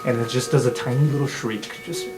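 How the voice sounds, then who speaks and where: A game master improvising descriptive, animated narration for a tabletop fantasy game. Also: medium pitch, fast, loud music behind the voice.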